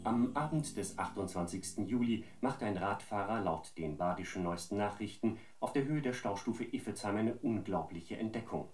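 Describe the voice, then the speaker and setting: A man's voice: on the low side, expressive, normal speed, hardly any pauses, darkish tone, roomy sound, faint music behind, close to an everyday voice. A man playing a wry radio news reporter, reading a scripted report in a polished, tongue-in-cheek way.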